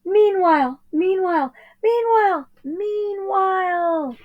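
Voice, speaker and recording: Woman as a high, wailing little boy adventurer. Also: lo-fi sound. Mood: desperate. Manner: wailing, drawn-out exclamations. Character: dramatic young boy adventurer, indignant and desperate.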